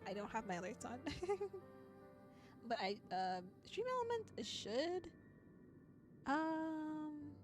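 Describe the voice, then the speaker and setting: A woman streamer chatting casually and playfully as she plays a visual novel, giggly and cutesy in her phrasing. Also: sits high. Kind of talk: casual livestream chat while playing a visual novel. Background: music.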